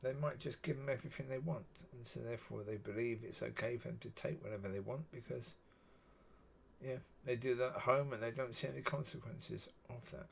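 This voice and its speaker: Man, husky, gravelly, rambling solo talk, average pitch. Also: fairly quick, slight background noise. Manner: musing, repeats yeah often. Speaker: solo video talker.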